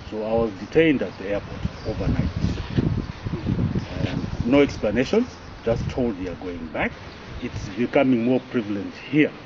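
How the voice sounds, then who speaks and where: A middle-aged man, recounting a past experience slowly and deliberately, outdoors. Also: mid-range voice, animated. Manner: slow, deliberate telling. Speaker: interviewee. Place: outdoor garden. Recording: lo-fi outdoor sound with noise.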